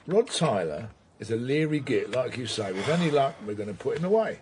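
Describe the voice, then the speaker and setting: A man's voice: mid-range voice, big pitch swings, fairly quick, a little mellow, lightly acted. A man acting a sardonic English detective, noisy background. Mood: dry, satisfied. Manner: sardonic, patronising. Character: wry, needling male police detective. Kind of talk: naturalistic radio drama acting. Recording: clearly noisy background ambience.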